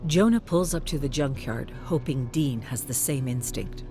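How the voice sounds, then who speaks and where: Narrator for dark suspense fiction: medium pitch, measured dramatic read, light music bed. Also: expressive. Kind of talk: dramatic scripted audiobook-style narration.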